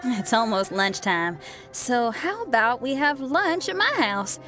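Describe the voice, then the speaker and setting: High-pitched, spirited young woman. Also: sing-song, unhurried. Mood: friendly, reflective. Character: spirited, sincere, easily startled young woman. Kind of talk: animated video game dub acting. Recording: loud music behind. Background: game music.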